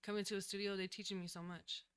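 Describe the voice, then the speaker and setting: Bright, crisp-voiced young singer woman. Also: mid-range voice, little pitch movement, fast talker. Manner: soft-spoken, hesitant, thinks aloud. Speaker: guest singer, new artist. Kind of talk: casual interview answers. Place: recording studio.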